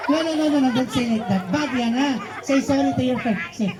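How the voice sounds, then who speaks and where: A high-voiced Filipino woman entertains children at a live puppet show, with a clearly audible crowd behind her. She coaxes and playfully scolds in an animated stage-host manner. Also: a little fast. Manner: animated, coaxing, mock-scolding. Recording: live event with loud crowd. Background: audience crowd.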